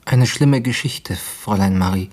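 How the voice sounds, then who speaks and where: An actor playing an earnest, courteous male newspaper editor in a German radio play, with formal, measured phrasing. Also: lowish voice, darkish tone, natural voice. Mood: sympathetic.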